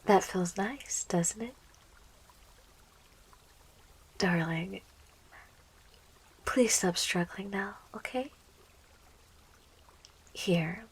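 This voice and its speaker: Woman acting a breathy, lovestruck plant-girl enchantress. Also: medium pitch, full character voice. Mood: coaxing, soothing. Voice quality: soft, breathy whisper. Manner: coaxing, cooing, giggly, slow. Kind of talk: whispered ASMR roleplay.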